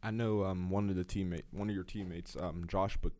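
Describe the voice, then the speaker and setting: A man's voice: sits low, fairly even intonation, brisk, dark tone. A young man co-hosting a student chat, rambling and mocking himself with plenty of hesitations. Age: young adult. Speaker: student co-host. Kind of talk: casual chat.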